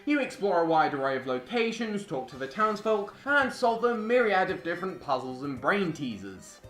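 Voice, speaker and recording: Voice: mid-range voice, big pitch swings, moderate pace, fairly bright tone. A young adult reading a scripted video essay as a film reviewer, with music behind. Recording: roomy voice-over with music behind.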